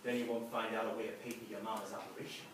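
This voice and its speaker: A man playing a scheming, smooth-talking street hustler with slangy, cajoling talk in a live comic radio play. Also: fairly low pitch, level tone, rapid-fire, close to an everyday voice. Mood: sly, persuasive. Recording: echoey, noisy live venue, distant mic.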